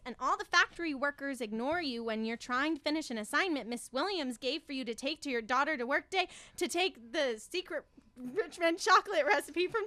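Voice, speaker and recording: A woman's voice: high voice, pushed and bright, heavily acted. A young woman playing an eager, naive, chirpy schoolgirl who narrates her adventures in a student radio comedy. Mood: excited. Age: young adult. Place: small radio studio with cast around microphones.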